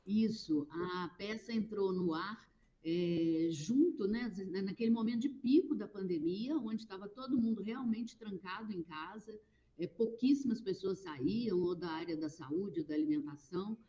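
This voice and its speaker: A Brazilian woman from a public radio station, answering interview questions in an animated, explanatory way with frequent fillers. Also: mid-range voice, normal speed, highs cut off.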